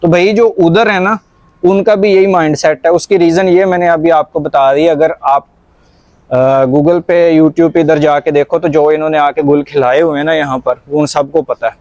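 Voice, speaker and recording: Earnest Pakistani man, higher-pitched, closely recorded. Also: fairly even intonation, normal speed, darkish tone, no room sound. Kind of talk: conversational discussion.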